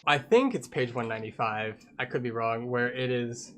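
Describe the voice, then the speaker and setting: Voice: medium pitch, normal speed, a little hiss or hum. Casual book club podcast co-host.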